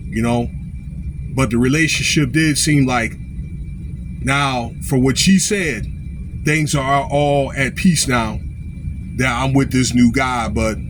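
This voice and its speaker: A man giving informal, unscripted commentary in a halting way, often checking in with short tags like okay and all right. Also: medium pitch, background noise. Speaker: online commentator.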